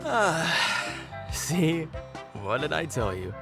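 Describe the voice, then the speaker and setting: A woman voicing a relaxed, contented vacationer in a video game, with a languid, sighing delivery. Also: sits low, very slow, over music, full character voice. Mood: blissful. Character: relaxed, contented female vacationer in background chatter. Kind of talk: video game character acting.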